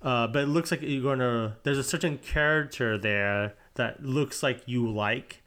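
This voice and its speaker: A man's voice: mid-range voice, measured pace, fairly bright tone. Rambling man on a casual podcast. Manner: rambling, self-correcting. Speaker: podcast co-host. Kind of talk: casual chat.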